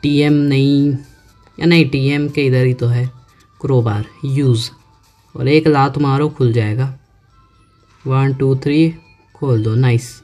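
A man chats through his game, thinking out loud and reading prompts off the screen. His delivery is slow and relaxed at a middle pitch. Faint game music plays beneath him. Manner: chatty, talks to himself, reads on-screen text aloud slowly. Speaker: gaming YouTuber. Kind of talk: casual let's-play commentary.

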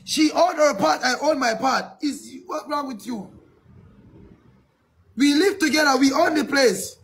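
An actor playing an excitable male visa applicant, speaking broken English, wailing and boasting. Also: extremely high pitch, measured pace, exaggerated voice, poor audio. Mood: indignant.

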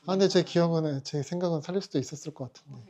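Forties male dentist, Jeju native, warm and somewhat high voice, casual, filler-laden interview delivery. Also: rapid-fire. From Jeju, South Korea. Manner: relaxed, chatty, with frequent soft fillers. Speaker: local dentist. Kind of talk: candid interview answers.

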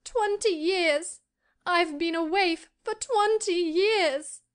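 A man's voice: very high-pitched, slow pace, fairly bright tone, voice pushed up, heavily acted. A man reading an audiobook, voicing the wailing ghost of a young girl who sobs and pleads mournfully. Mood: mournful pleading. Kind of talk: audiobook character voice.

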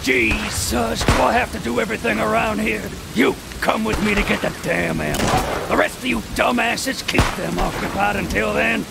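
An actor playing a hot-tempered, impatient Western gang boss in a video game cutscene, barking orders. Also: male voice, high voice, fairly even intonation, normal speed, creaky, over loud music. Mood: furious, exasperated. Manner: barking, foul-mouthed, impatient. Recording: rain and storm noise throughout.

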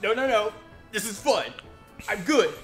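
An actor playing a wry male fairgoer in a scripted comedy sketch, with deadpan asides. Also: high voice, a little slow, faint music behind.

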